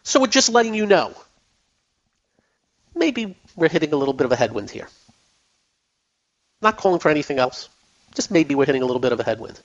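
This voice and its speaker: A man's voice: on the high side, big pitch swings, fairly quick, long pauses. A man hosting a finance radio show, losing his voice, talking casually with fillers and repetition. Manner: chatty, repeats himself, many fillers. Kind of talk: casual radio market talk. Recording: dull lo-fi broadcast sound.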